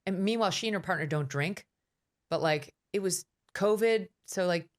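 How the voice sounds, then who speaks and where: Low-voiced fast-talking woman host. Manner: quick, animated storytelling. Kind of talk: podcast interview.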